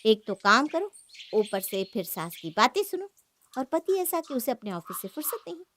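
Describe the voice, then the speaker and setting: A woman's voice: fairly high pitch, sing-song, moderate pace, a bit bright, a little hiss or hum. A woman voicing a jealous, sulky young married woman in a cartoon moral story, complaining and argumentative. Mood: envious grumbling.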